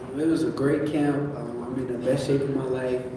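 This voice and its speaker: A man's voice: mid-range voice, level tone, moderate pace, background noise. Laid-back male boxer, distant and echoey. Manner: laid-back, blunt, with many "um"s. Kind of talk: press conference answers. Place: large event room. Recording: echoey room picked up from far off.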